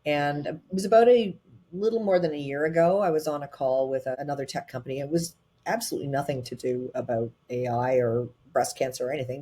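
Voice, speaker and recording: A woman guest, a tech advisor, telling her story in a lively conversational way, often quickening her pace, with fillers like "um" and "uh" between phrases. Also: extremely low pitch. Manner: animated, quick bursts with frequent fillers.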